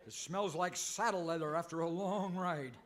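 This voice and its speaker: An actor playing a bumbling, earnest, mildly exasperated cowboy hero in a comic old-time radio western. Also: male voice, high-pitched, moderate pace, a bit bright. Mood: wry complaining. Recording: faintly noisy live stage recording.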